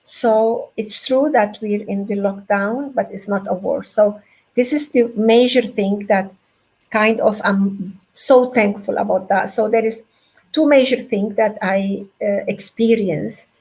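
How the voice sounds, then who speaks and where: A woman's voice: mid-range voice, steady tone, darkish tone, husky, low-quality recording. Earnest woman from Venezuela. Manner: earnest, grateful, halting with restarts. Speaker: guest. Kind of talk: interview answers.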